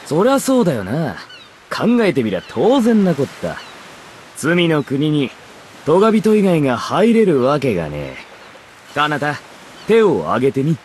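An actor voicing a cocky young outlaw in a Japanese game, with a rough, sardonic, swaggering way of talking. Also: male voice, fairly high pitch, wildly swooping pitch, normal speed, a little mellow, slight background noise. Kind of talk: Japanese anime game voice acting.

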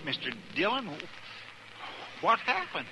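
Loyal frontier deputy, folksy rural American, deferential, raised pitch, clearly noisy vintage radio drama. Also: male voice, wildly swooping pitch, slow, exaggerated voice. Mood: stunned. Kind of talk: 1950s radio western acting. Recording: noisy 1950s radio recording.

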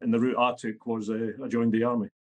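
A man's voice: mid-range voice, flat delivery, fast talker, darkish tone. A Scottish man in his fifties, a podcast guest talking bluntly and matter-of-factly. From Scotland. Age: 50s. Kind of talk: podcast interview.

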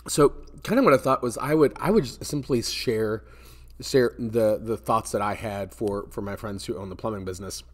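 A man's voice: on the low side, moderate pace. An American man in his forties talking to camera in a conversational, explanatory way, repeating words for emphasis as he gives business advice. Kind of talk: casual advice talk to camera. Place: indoor office space with metal ceiling and glass garage door.

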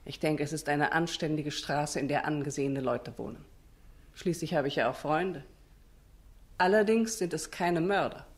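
A woman's voice: sits low, normal speed. An actress playing an indignant, defensive female witness in a German radio play, formal and emphatic.

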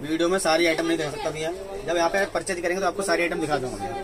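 A young man pitching his stock at a rapid clip, his voice sitting a little high. He keeps repeating prices in a coaxing way. Crowd chatter from a busy indoor market fills the background. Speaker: wholesale shopkeeper. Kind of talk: sales pitch showing products. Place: crowded indoor wholesale shop. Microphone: lapel mic.

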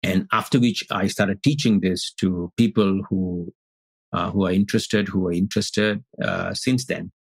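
Gravelly low-voiced man on a video call. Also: steady tone, no room sound. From Singapore. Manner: thoughtful, halting with many restarts. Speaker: guest, Advaita Vedanta teacher. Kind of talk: casual interview talk. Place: indoor room on video call.

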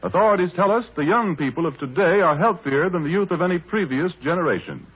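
A man's voice: a little high, very animated, fast, breathy edge, narrow, tinny audio. A male radio announcer with a formal, polished sales delivery, in 1930s broadcast style.

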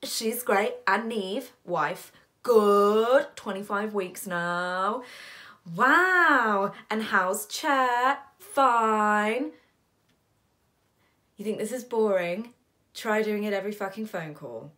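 A woman's voice: mid-range voice, takes it slowly, close to an everyday voice. A woman acting a theatrical monologue as a weary, sardonic, foul-mouthed character, telling her story in a wry, dramatic way with exaggerated quoted voices. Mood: dry, exasperated.

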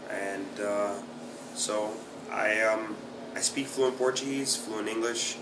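A man in his thirties, raised in South Florida, answering interview questions in a relaxed way with lots of uh and you know. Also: on the low side, takes it slowly. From Brazil, raised in South Florida. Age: 30s. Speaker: jiu-jitsu fighter and instructor. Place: small plain room indoors, seated in office chair. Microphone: camera at a distance. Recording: echoey room, distant mic, background hum.

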